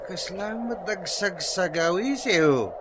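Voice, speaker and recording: A man's voice: high-pitched, expressive, takes it slowly, background noise. A man with an Ilocano accent playing a carefree, lazy, hard-drinking husband in a radio comedy-drama. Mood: uneasy, alarmed.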